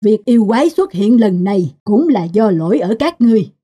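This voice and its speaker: Nữ thần tình yêu trầm ấm, hơi khàn. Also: female voice, mid-range voice, expressive, fairly quick, mellow, breathy edge. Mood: admonishing. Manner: solemn, admonishing. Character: stern, wise female goddess of love. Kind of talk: Vietnamese cartoon dialogue.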